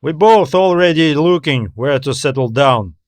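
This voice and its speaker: A man's voice: on the high side, animated, moderate pace, dry recording, close to an everyday voice. A man voicing a jaded space station trader in an audiobook, frank, grumbling and sardonic. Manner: blunt, sardonic, informal.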